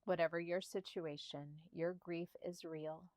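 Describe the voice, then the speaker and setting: Soft, calm woman guiding meditation, low-pitched. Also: dry recording. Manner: slow, calm, soothing delivery with spaced short phrases. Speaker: meditation guide. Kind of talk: guided meditation narration.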